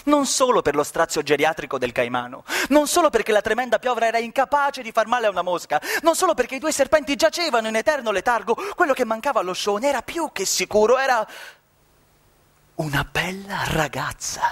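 High-pitched, swooping theatrical male storyteller. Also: sing-song, a little fast, a bit bright. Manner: dramatic, ironic, savouring lists and descriptions. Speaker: stage actor narrating. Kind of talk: theatrical radio-play storytelling.

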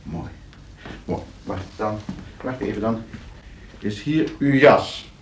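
A man's voice: on the low side, takes it slowly, slight background noise. An actor playing a polite, scheming professor in a radio play, precise in his speech but prone to fluster. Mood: brisk, conspiratorial. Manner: polite, precise when instructing, stammering when questioned. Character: scheming, flustered professor plotting against his uncle for inheritance.

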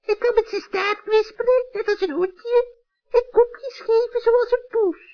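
Male actor as a sly old witch, very high shrill voice, cackling, wheedling, children's radio play, dull old recording. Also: fairly even intonation, measured pace. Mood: coaxing. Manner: wheedling, falsely sweet. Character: sly old female witch, coaxing and scheming.

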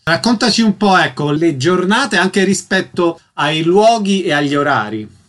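A man's voice: high-pitched, lively intonation, moderate pace, fairly bright tone. A man hosting a radio interview, putting short questions to a guest.

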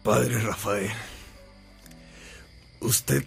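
An actor playing a weary, repentant dying swindler who has lost everything, in a radio drama. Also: male voice, fairly low pitch, fairly even intonation, extremely slow, creaky, faint music behind, exaggerated voice. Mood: weary, confessing.

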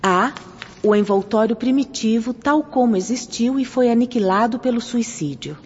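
Lady acting gentle formal spirit guide sister in a radio play, soft dark tone, big echoey hall. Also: a little high, moderate pace, breathy edge. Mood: solemn, explanatory. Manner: courteous, instructive, formal. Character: Sister Rosália, gentle authoritative female spirit-world guide. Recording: muffled, reverberant hall, noisy radio-drama recording.

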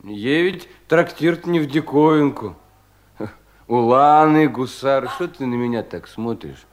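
An actor playing a despairing, bitterly mocking young provincial official in a theatrical radio drama, with long dragging pauses. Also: male voice, mid-range voice, lightly acted. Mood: drunken mockery. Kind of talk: 1970s Russian radio drama acting.

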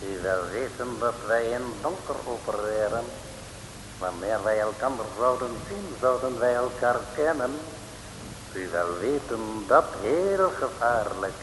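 An actor playing a stern, secretive gang boss with a foreign accent, giving formal orders in broken grammar for a radio serial. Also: male voice, mid-range voice, expressive, a little slow, natural voice. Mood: stern, suspicious. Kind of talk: 1960s Dutch radio serial acting. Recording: echoey, reverberant old radio recording, hiss.

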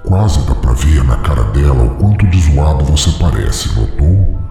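A man voicing a gravelly sneering inner demon. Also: lively intonation, normal speed, dark tone, full character voice. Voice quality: deep gravelly voice. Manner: sneering, condescending, mock-sweet. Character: mocking inner demon, female, cruel and taunting. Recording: echoey reverb effect with music.

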